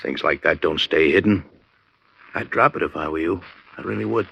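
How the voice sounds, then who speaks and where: Casting: plainspoken American pal, deep, uneasy, protective; vintage radio crime drama, dry close sound. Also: male voice, normal speed, creaky, slightly rough, no echo, muffled recording, exaggerated voice. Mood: reluctant warning. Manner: plainspoken, reluctant. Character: reluctant male informant, uneasy but protective friend. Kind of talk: 1940s-50s radio noir acting.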